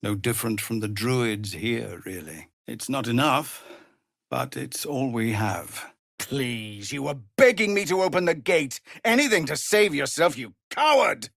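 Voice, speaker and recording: A grave, earnest man as the tired leader of outcast refugees in a fantasy game. His formal delivery is measured and slow, with wide swings of pitch and a husky edge, recorded very dry. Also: fairly bright tone, no room sound, exaggerated voice. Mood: furious, shouting. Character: weary, dutiful male leader of refugee outcasts. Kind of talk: dramatic video game voice acting.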